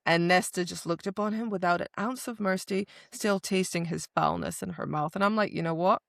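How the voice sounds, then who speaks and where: A woman co-hosting a podcast, excitedly retelling book scenes in slangy casual chat with dramatic quoting. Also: fairly low pitch, fairly quick, gravelly, dry recording, clean studio sound. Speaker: podcast co-host.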